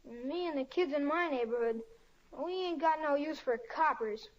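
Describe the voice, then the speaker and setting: A woman's voice: high voice, normal speed, lightly acted. A woman playing a frightened, street-tough young boy with slangy, stammering speech in an old-time radio drama. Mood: softening, earnest. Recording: dull lo-fi old radio recording.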